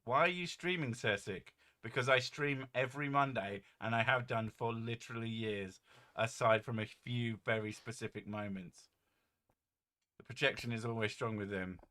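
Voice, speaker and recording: A man with a deep, boomy voice chats casually on a home stream, joking and rambling with the odd curse. His tone is bright and forceful, and his microphone sits well above his head, so he sounds a little set back from it. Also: normal speed. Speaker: streamer host. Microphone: desk mic set well above his head.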